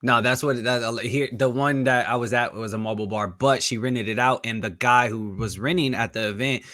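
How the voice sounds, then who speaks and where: A man's voice: mid-range voice, brisk, dry recording. Laid-back young man hosting a podcast. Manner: relaxed, rambling with many fillers. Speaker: podcast host. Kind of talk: casual interview chat.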